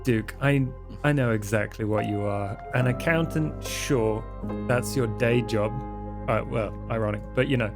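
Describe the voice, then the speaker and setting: A man's voice: medium pitch, a little slow, music in the background, natural voice. A man playing a casual young fledgling vampire, slangy and offhand, with hesitant phrasing. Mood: sincere, uneasy.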